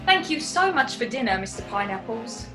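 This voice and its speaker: Plucky English maid, played by a woman, high and very animated, polite, chirpy, 1940s radio comedy. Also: moderate pace, faint music behind. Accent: British. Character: plucky, cheerful, polite young English housemaid.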